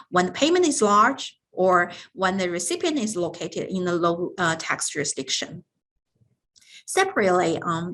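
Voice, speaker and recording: A woman's voice: mid-range voice, a bit bright. A woman presenting scripted business material in a formal, explanatory way, often hesitating as she reads. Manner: formal, halting reading with frequent hesitations. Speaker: business tax advisory presenter.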